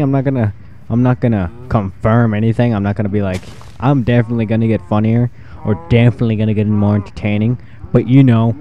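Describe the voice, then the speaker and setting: A fourteen-year-old boy with a freshly deepened voice, rambling and self-deprecating while giving casual commentary over his gameplay. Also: mellow, dull audio, slight background noise. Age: 14, teenage boy with newly deepened voice. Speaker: gaming channel host.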